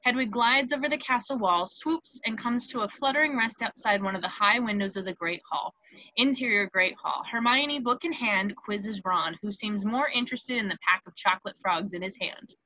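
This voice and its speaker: A woman's voice: medium pitch, normal speed, bright, forceful tone, no echo, rough recording. A woman narrating a screenplay, reading out scene headings and stage directions.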